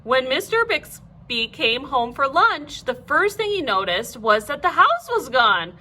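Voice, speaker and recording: A woman reading a children's storybook aloud like a teacher, in an animated, clearly phrased way. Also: high-pitched, a little slow, slight background noise.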